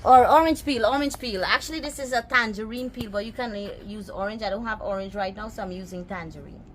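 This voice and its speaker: A woman's voice: mid-range voice, fast, faint music behind. A woman from Guyana with a Guyanese accent, casually showing a cooking recipe outdoors and chatting with her viewers. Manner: chatty, talks to viewers and pets. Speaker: cooking vlogger. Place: backyard outdoors.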